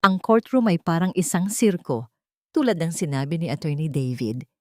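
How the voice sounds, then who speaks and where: A woman's voice: deep voice, sing-song, normal speed, warm tone, no room sound, clean studio sound. A woman reading a scripted, dramatic love story as its narrator.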